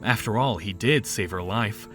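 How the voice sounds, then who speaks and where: A man's voice: lowish voice, normal speed, fairly bright tone. A man narrating a scripted audio drama in storybook style. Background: background music.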